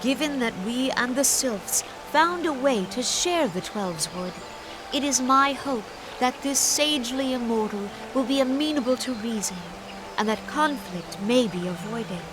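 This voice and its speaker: A woman playing a gentle, dignified leader of a forest nation in a video game, speaking in a formal, measured, earnest and courtly way. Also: fairly high pitch, animated, noisy background. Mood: grave, hopeful. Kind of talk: scripted video game voice acting. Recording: loud music behind the voice.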